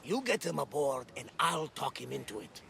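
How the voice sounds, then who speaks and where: A man's voice: a little high, big pitch swings, normal speed, bright, forceful tone, a little hiss or hum, exaggerated voice. A man playing a wily, coaxing seasoned sailor in a video game. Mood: sly, coaxing. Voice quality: gravelly. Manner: sly, coaxing. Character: wily, cajoling seasoned sailor, male, persuasive and roguish. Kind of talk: dramatic video game dialogue.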